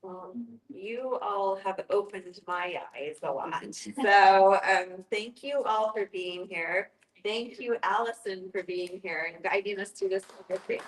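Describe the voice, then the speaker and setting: A woman's voice: mid-range voice, a little slow. A woman hosting an informal group discussion, speaking conversationally to those taking part. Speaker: group host. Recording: echoey room with faint noise.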